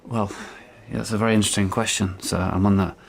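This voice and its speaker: A man's voice: fairly low pitch, little pitch movement, quick pace, gravelly. An actor playing a meek, nervous young salesman and dutiful son in a radio drama, hesitant and apologetic. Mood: flustered. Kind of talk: naturalistic radio drama acting.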